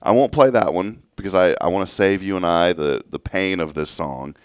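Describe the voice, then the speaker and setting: Groggy deep-voiced radio morning host. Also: male voice, moderate pace, clean tone, dry recording, clean studio sound. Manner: rambling, self-interrupting, chuckling. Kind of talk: casual on-air chat.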